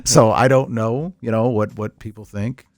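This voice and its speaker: A man's voice: on the low side, fairly even intonation, normal speed, no room sound. A middle-aged man in casual unscripted podcast chat, opinionated and joking, rambling as he goes. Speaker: podcast co-host.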